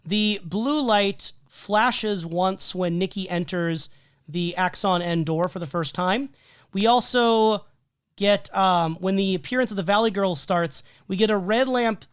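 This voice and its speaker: Steady man reading film notes, hoarse. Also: sits high, unhurried, no room sound, studio quality. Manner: methodical, listing details with frequent "um" and "like". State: losing his voice. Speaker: podcast co-host.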